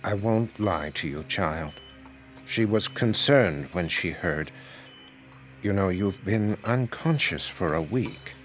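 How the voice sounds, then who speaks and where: An actor playing a solemn small-town pastor with a measured, preachy, paternal manner in an audio drama. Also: male voice, low voice, expressive, soft background music. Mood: calm, paternal. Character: solemn, slow-talking pious small-town male pastor.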